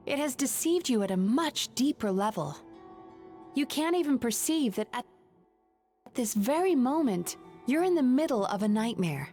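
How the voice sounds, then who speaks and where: A woman playing a composed, earnest dream therapist in a video game, measured, articulate and gently firm. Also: fairly high pitch. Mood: grave, firm.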